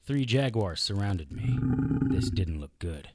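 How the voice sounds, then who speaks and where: A man playing a terse, deadpan private investigator in a radio drama, both narrating and in dialogue. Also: fairly low pitch, measured pace, no room sound. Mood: grim narration. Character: dry, world-weary male private investigator hero. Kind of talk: radio drama acting and hardboiled first-person narration.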